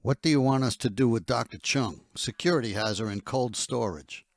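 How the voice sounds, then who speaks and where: A man's voice: mid-range voice, normal speed, creaky, dry recording, clean studio sound, natural voice. An actor playing a dutiful henchman in an audio drama, reporting in a matter-of-fact, slightly hesitant and deferential way. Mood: careful. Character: dutiful male henchman reporting to his boss.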